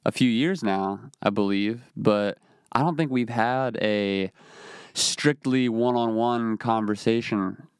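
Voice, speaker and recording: A man hosting a casual podcast interview, relaxed and laughing easily, with lots of fillers as he asks questions. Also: on the low side, slow, smooth, no echo, studio quality. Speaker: podcast host.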